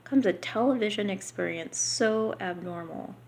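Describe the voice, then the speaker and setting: A woman reading a book aloud unrehearsed, halting here and there and slipping into casual asides. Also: mid-range voice, vocal fry, a little mellow, slight background noise.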